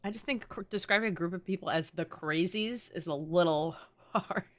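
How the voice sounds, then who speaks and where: A woman's voice: lowish voice, moderate pace. A woman doing casual, playful, reactive live commentary as she streams a game playthrough.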